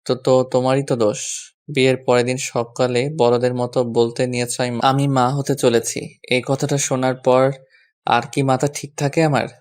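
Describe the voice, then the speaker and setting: A man's voice: medium pitch, level tone, moderate pace, darkish tone, natural voice. A young man playing an exasperated, bossy yet fond husband in a romantic audio story, with a scolding, sarcastic manner.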